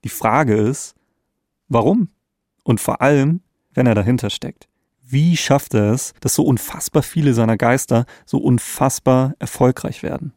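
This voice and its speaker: A man's voice: medium pitch, a little slow, darkish tone, no room sound, studio quality. A man co-hosting an investigative podcast in a conversational narrating style. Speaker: podcast co-host.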